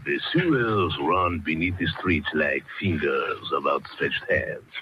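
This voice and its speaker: An actor playing a crazed, eerily courteous old French hermit with a raspy voice, in old-time radio horror style. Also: male voice, very deep voice, very animated, slow, a little hiss or hum, heavily acted. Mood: eerily calm. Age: older. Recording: dull, lo-fi old radio recording.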